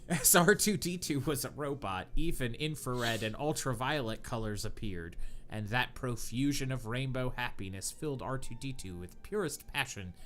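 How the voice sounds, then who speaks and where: A man's voice: medium pitch, lively intonation, normal speed, a bit bright, a little hiss or hum. Man reading aloud with mock earnestness. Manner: reads with mock earnestness, breaks into laughter. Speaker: podcast host reading fanfiction aloud. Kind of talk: comedic dramatic reading with sarcastic asides.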